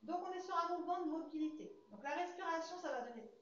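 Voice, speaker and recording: Young English-accented woman, Pilates teacher, calm cues while exercising, high voice, faint hiss. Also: quick pace. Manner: calm, counts breaths while demonstrating. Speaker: Pilates instructor. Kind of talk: guided exercise class. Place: large fitness studio with hard floor, indoors. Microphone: far camera. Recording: echoey studio, dull sound, faint hiss.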